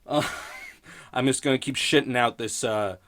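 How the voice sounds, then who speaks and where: A man's voice: mid-range voice, unhurried, fairly bright tone. A man hosting a podcast in casual, improvised rambling, with a sardonic, profane and self-mocking way of talking. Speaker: podcast host.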